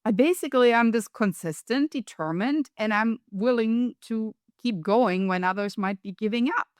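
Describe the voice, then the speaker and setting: A woman's voice: a little high, moderate pace, no echo, clean studio sound. A middle-aged woman from Europe with a European accent, speaking as an interview guest and coach in an upbeat, emphatic, motivational way. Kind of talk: conversational interview.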